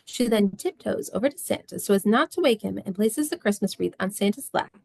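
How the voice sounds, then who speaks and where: A woman's voice: mid-range voice, gravelly, a little mellow, no room sound. A woman reading scripted stage directions as narrator of a screenplay table read.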